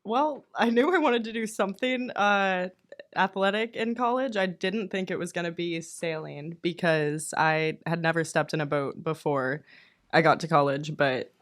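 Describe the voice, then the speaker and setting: Young Florida woman, short soft-ending answers. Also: lowish voice, normal speed. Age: young adult. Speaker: college sailing team captain. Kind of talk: casual interview answers.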